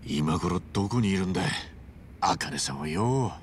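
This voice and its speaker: A man's voice: sits low, expressive, a little slow, a little hiss or hum. An actor playing a brash, good-hearted middle-aged hero in a video game, blunt and colloquial in his talk. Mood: earnest, pensive. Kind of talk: Japanese video game dub acting.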